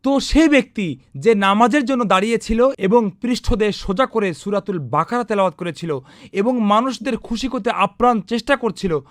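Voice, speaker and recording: A man's voice: sits high, lively intonation, normal speed, no room sound, studio quality. A man narrating an Islamic cartoon, explaining a religious teaching in an earnest lecturing tone with rhetorical questions.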